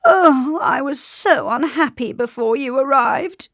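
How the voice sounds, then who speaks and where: Woman playing a weepy king, high-pitched, slow drawn-out wails, miserable, sobbing, children's storybook. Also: very animated, dry recording, clean studio sound, full character voice. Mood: wailing, miserable. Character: tearful, wailing king of a miserable land.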